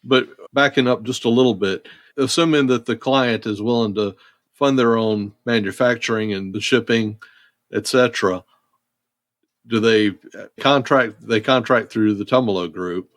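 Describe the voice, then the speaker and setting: A man's voice: mid-range voice, moderate pace, a bit bright, no echo, clean studio sound. A man hosting an interview, asking easygoing, conversational questions. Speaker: podcast host.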